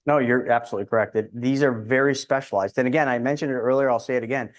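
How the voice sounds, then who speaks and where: A man speaking as a guest in a casual interview, explaining in a confident, quick way with restarts. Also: mid-range voice, steady tone. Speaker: insurance advisor guest.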